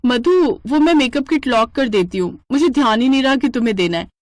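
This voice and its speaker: Woman acting a vain, high-pitched jealous housewife. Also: animated, brisk, no room sound, studio quality, close to an everyday voice. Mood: evasive. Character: vain, jealous, greedy young daughter-in-law.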